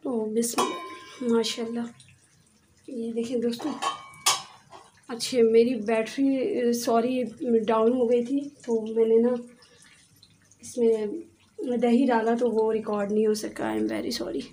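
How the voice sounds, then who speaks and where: A woman's voice: on the high side, takes it slowly, long pauses, warm tone. Chatty woman cooking in a noisy kitchen. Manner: chatty, explains each step and says "theek hai" often. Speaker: home cooking channel host. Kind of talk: casual cooking demonstration. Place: home kitchen, at a gas stove. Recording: noisy kitchen, echoey and distant.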